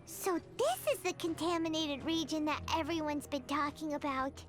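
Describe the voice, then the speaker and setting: A woman voicing a tiny, childlike female fairy companion in a video game, chatty and inquisitive with a squeaky voice. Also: moderate pace, over music, lightly acted. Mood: curious, uneasy. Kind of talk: scripted video game dialogue.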